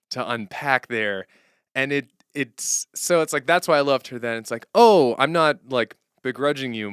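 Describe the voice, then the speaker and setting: Low-voiced man, smooth, thinking aloud. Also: dry recording, clean studio sound. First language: English as main language, German heard at home. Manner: animated, rambling, thinking aloud, self-interrupting. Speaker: streamer and songwriter. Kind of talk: casual unscripted stream talk.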